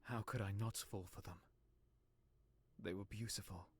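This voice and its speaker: A man playing a guarded, heartbroken young nobleman in a visual novel, speaking in an earnest, measured way. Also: lowish voice, steady tone, breathy edge. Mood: wistful. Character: heartbroken young nobleman, guarded, wounded, confiding in his servant. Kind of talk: visual novel character acting.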